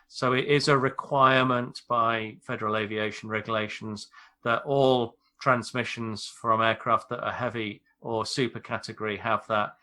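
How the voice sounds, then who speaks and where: British man, bright crisp-edged tone, medium pitch, measured explanatory delivery, informal podcast talk. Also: fairly even intonation. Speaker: airline pilot, expert guest.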